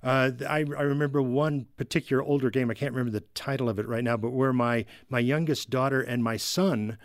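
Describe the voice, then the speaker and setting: Middle-aged male podcast guest-reviewer, mid-pitched, casual and halting, very dry recording. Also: steady tone, no echo. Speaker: video game reviewer, podcast regular. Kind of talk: casual conversational discussion.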